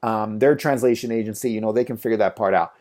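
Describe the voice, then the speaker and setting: A man's voice: on the low side, fast, a little mellow. A man teaching a casual course on freelance translation. He talks in a loose conversational way, with fillers and repeated phrases. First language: English. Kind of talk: casual spoken tutorial.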